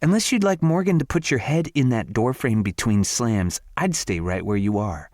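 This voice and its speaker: A man's voice: medium pitch, expressive, normal speed, a little mellow, no echo, pitched above the performer's own voice, natural voice. A man doing a blunt, sardonic young woman. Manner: dry, sardonic, firm.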